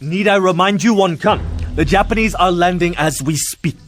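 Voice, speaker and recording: Proud, higher-voiced war minister. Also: male voice, normal speed, fairly bright tone. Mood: defensive, curt. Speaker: voice actor in a game cutscene. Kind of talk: dramatic scripted game acting. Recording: music behind the voice.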